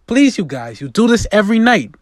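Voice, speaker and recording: A man's voice: high-pitched, big pitch swings, brisk, no room sound, clean studio sound, voice pushed up. A man voicing a fed-up, exasperated teenage boy pleading with his parents in a reading of a script. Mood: angry, pleading.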